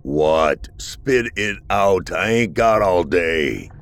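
A man's voice: lively intonation, clean tone, heavily acted. Man doing a guttural, hostile lighthouse dweller. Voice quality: guttural, deep and slow. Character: slimy, sagging keeper of the lighthouse, gruff and hostile.

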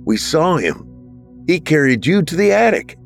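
A man's voice: fairly high pitch, big pitch swings, normal speed, vocal fry, faint music behind, voice pushed up. A man voicing a defensive, sarcastic young male ghost, in a raised voice. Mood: uncertain.

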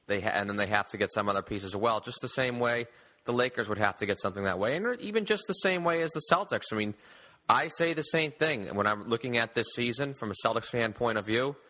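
A man's voice: medium pitch, animated, fast talker, bright, forceful tone. A man hosting a conversational sports discussion and arguing his own opinions. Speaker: sports podcast host.

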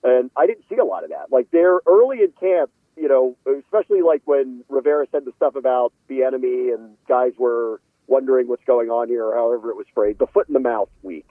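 A man's voice: medium pitch, brisk, few pauses, no echo. A middle-aged man, a sports reporter, giving casual conversational analysis as a guest on a show. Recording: tinny narrow phone-like line.

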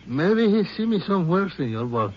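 A man's voice: sits high, sing-song, brisk. An actor playing a polite, evasive Mexican man in an old radio drama, with a Mexican accent and broken English sprinkled with Spanish. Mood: evasive denial. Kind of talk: 1950s radio drama acting. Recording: muffled, noisy old radio recording.

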